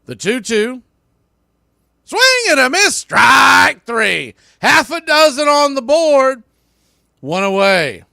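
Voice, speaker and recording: A man's voice: very high voice, sing-song, slow, vocal fry, bright, forceful tone, no echo, clean studio sound. A man doing live baseball play-by-play in clipped short phrases, with casual asides.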